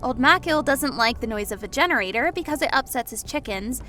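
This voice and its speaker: Casting: actress playing a conversational woman in a fantasy audiobook, fairly high voice, music underneath. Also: expressive, a little fast, bright, forceful tone. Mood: matter-of-fact. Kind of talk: acted audio story dialogue.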